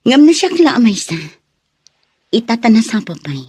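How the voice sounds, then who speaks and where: A woman's voice: on the high side, very animated, normal speed, dark tone, breathy edge, no echo. A woman acting in a radio drama as a knowing elder sister who gives assured, cautioning advice. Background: music.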